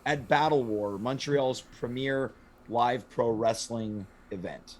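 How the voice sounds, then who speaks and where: A man with a hoarse, worn voice hosting a comedic home show, talking in a theatrical, playfully boastful way. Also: mid-range voice, slow pace, a little hiss or hum, poor audio. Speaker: host. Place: home studio.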